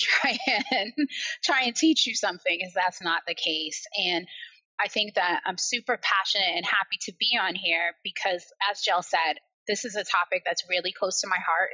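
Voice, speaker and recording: A woman with an American accent, a guest on a podcast, talking in an animated, enthusiastic way about work and her background. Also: lowish voice, normal speed, muffled recording. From born in England, raised in Barbados and the US. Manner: animated, enthusiastic, self-deprecating. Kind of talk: casual conversational interview.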